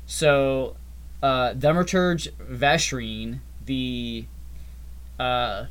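A man's voice: mid-range voice, steady tone, very slow, smooth. A man co-hosting a podcast, explaining game patch changes in a loose, rambling way and often trailing off. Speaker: podcast co-host. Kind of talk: casual gaming chat.

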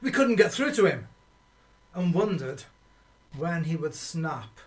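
A man's voice: on the high side, big pitch swings, slow pace. A man playing a worried soldier who recalls a troubled comrade, narrating in a radio play.

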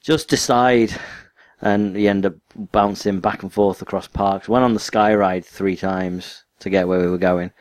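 A man's voice: low voice, fairly even intonation, unhurried, darkish tone, dry recording. A man with a northern English accent, co-hosting a casual podcast chat in a rambling, tired, self-deprecating way. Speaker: podcast co-host.

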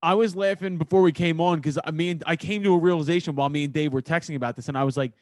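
A man's voice: on the high side, steady tone, racing, a little mellow, dry recording, clean studio sound. A man hosting a podcast in casual, profane, slangy chat. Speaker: podcast host.

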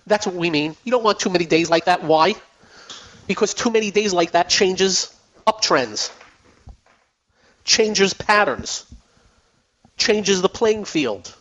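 A man hosts a finance call-in radio show, his voice going hoarse as he talks. He chats in a loose, repetitive way with plenty of fillers, and sits fairly high in pitch. The broadcast sounds dull and lo-fi. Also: animated, slow, long pauses, fairly bright tone. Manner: chatty, repeats himself, many fillers. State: losing his voice. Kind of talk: casual radio market talk.

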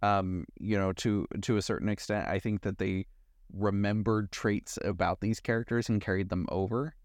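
A man's voice: fairly low pitch, steady tone, normal speed, no echo, studio quality. A man co-hosting a podcast, talking through movies in a casual, conversational and analytical way. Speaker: podcast co-host.

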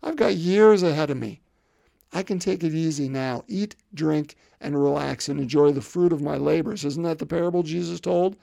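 A man's voice: on the high side, moderate pace, gravelly, dark tone, no room sound. A man in his fifties preaching a sermon in a conversational way, often repeating words and putting rhetorical questions to the congregation. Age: 50s. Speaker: pastor.

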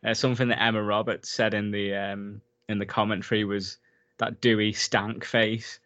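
A man's voice: on the low side, flat delivery, moderate pace, fairly bright tone. A man in a casual film recap chat, enthusiastic and talkative, laughing often as he goes. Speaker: podcast co-host.

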